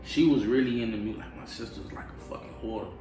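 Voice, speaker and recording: A man's voice: lowish voice, rapid-fire. A man from Florida, a rapper, chatting loosely with lots of slang and swearing in an interview. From Fort Lauderdale, Florida. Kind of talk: casual interview chat. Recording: echoey room, mic far off, music behind.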